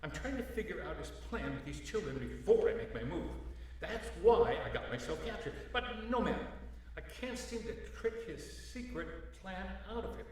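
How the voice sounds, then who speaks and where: An earnest old holiday hero acted by a man declaims dramatically in a radio play. The pitch is raised and lively, and the sound rings with heavy echo, slightly away from the mic. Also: moderate pace, far from the mic. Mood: indignant, secretive. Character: earnest heroic old Santa Claus, theatrical, urgent. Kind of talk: comedic audio drama acting. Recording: very echoey room, slightly off mic.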